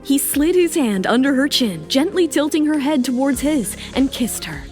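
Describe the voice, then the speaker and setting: A woman narrates a romance audio drama in a fairly high voice. Her delivery is scripted and dramatic, with music playing underneath. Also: expressive, normal speed.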